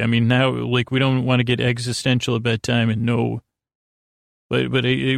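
Creaky-voiced man rambling through a bedtime podcast. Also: medium pitch, no echo, studio quality. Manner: meandering, halting, stuttering over words, self-deprecating; mildly silly, playful wordplay. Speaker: podcast host. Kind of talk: rambling bedtime monologue, loose storytelling.

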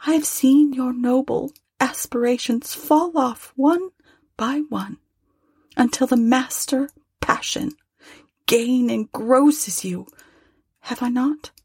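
A woman doing a soft, tearful young woman. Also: sits high, takes it slowly, breathy edge, dry recording, studio quality, pitched above the performer's own voice, full character voice. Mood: sorrowful. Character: young woman, sorrowful and gentle but firm.

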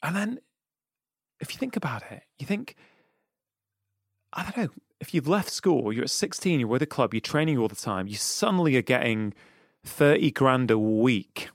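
A man's voice: medium pitch, lively intonation, normal speed, no room sound, clean studio sound. A man from England with a Manchester accent, hosting a podcast interview in a thoughtful, earnest way. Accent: Mancunian English. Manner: thoughtful, earnest, frequent "you know". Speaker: podcast host. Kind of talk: reflective interview conversation.

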